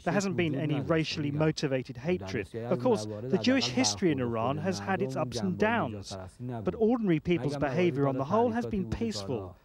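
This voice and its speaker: A man's voice: a little high, sing-song, brisk, a crowd in the background. A man giving a serious, explanatory interview answer.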